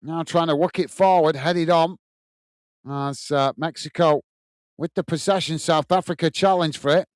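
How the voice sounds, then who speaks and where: English man, match commentary, fairly high voice, smooth and dry close mic. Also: normal speed, no room sound. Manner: steady play-by-play, chuckles at chat. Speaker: watchalong host. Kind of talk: live match commentary with chat reading.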